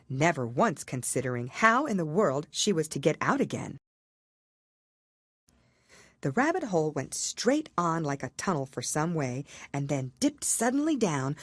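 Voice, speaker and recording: Low-voiced, expressive woman storyteller. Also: no room sound, clean studio sound. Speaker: audiobook narrator. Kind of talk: expressive children's storybook reading.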